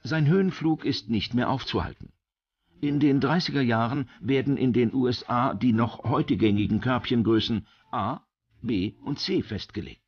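A man's voice: mid-range voice, normal speed, highs cut off. A man narrating a documentary from a script.

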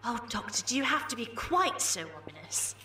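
A woman's voice: a little high, very animated, brisk, sharp tone, soft background music. A woman playing a spirited, teasing young time-travel companion in an amateur audio drama, sarcastic and exasperated. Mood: uneasy.

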